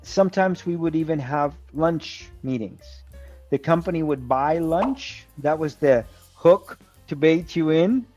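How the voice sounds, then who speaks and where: A man's voice: a little high, expressive, unhurried, darkish tone, dull audio, faint music behind. A man tutoring in a conversational online lesson, explaining with short acted-out examples. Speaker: English tutor. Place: online video call.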